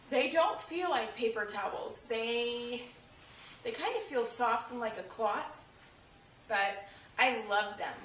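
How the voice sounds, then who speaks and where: A young woman with a hoarse, fading voice, chatting through a cleaning demonstration with lots of fillers and restarts. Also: a little high, fairly bright tone, a little hiss or hum. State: losing her voice. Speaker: cleaning tips creator. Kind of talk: casual how-to demonstration. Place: home kitchen. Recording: echoey room, voice from a distance.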